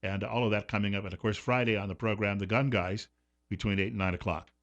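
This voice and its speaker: Low-voiced male talk radio host. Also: fairly even intonation, fast, a bit bright, highs cut off. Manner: conversational, loose, with frequent fillers.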